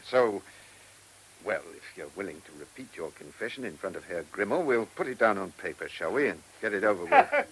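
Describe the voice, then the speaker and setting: An actor in a radio drama playing a dogged, plain-spoken English police inspector with an English accent and a matter-of-fact manner. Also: male voice, lowish voice, sing-song, fairly quick, slightly rough, exaggerated voice, rough recording. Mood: measured, probing.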